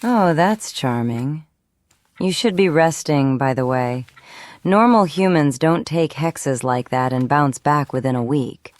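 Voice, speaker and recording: A woman's voice: low-pitched, animated, takes it slowly, a little mellow. A woman playing a bold, teasing, defiant rogue in an audio drama, with a wry and challenging manner.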